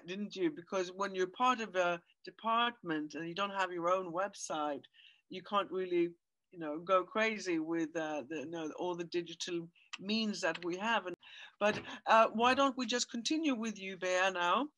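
A woman moderating a webinar panel over a video call, encouraging and quick with short replies. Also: lowish voice, fairly bright tone, slight background noise. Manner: encouraging, frequent short back-channel replies.

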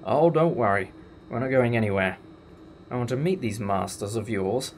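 A man's voice: medium pitch, animated, moderate pace, slight background noise, lightly acted. A man playing a wry, inquisitive time-traveller in a sci-fi audio drama, with a sardonic, explanatory manner. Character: wry, inquisitive, defiant male time-travelling alien adventurer.

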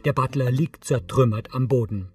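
A man's voice: mid-range voice, moderate pace, husky, soft background music. A man reading scripted audio description in a matter-of-fact, articulate way. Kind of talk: scripted narration.

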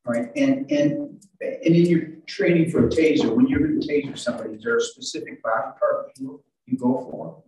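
An older man working as a defense attorney, questioning in court in a halting way and repeating his words. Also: mid-range voice, dark tone. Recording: very echoey courtroom, distant microphone.

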